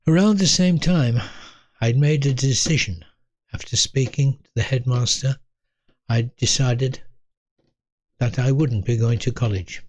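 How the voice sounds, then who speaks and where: Slow-talking English man in his eighties. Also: mid-range voice, no echo. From North East England. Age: 80s. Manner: unhurried, measured, pausing between short phrases. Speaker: storyteller, host of own video series. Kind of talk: reflective autobiographical storytelling to camera.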